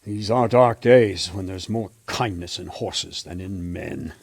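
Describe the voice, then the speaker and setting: An actor playing a grimly determined medieval captain in a video game, authoritative and blunt. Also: male voice, deep voice, slow pace, vocal fry, breathy edge, no room sound, exaggerated voice. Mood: weary, somber. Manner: authoritative, blunt, oath-swearing. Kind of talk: dramatic video game acting.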